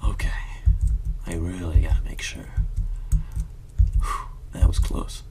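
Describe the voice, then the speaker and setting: A man's voice: low-pitched, flat delivery, slow, in a whisper. A man chatting casually and rambling in a self-deprecating way while playing a computer game on a live stream.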